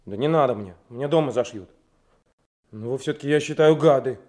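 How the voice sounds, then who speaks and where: A man's voice: mid-range voice, a little fast, a little mellow, close to an everyday voice. A man playing a stubborn, indignant young trainee detective in a Soviet radio drama, speaking bluntly. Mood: stubborn, aggrieved. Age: young adult.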